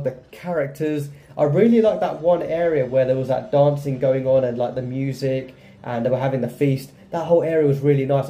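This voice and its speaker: A man's voice: on the high side, warm tone. A man hosting a gaming video with casual gameplay commentary.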